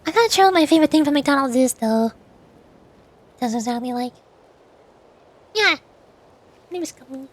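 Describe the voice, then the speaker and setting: High-pitched woman chatting on a stream. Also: expressive. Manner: hesitant, halting, repeats words. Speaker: VTuber streamer. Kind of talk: casual gaming stream chat.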